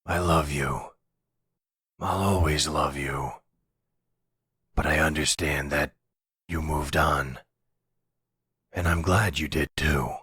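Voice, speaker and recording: A man performs a gruff older mafia boss in an intimate roleplay drama. The voice is extremely low and very husky, close and dry. He speaks slowly and hesitantly, weary but tender. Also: steady tone, a bit bright, no echo, exaggerated voice. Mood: regretful, tender. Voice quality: gravelly, husky. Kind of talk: boyfriend roleplay audio drama.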